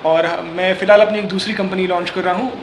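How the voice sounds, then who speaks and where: A man's voice: high voice, steady tone, a little fast. A young man with an Indian accent, a filmmaker answering interview questions conversationally and mixing in English. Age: young adult. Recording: echoey room with clear background noise.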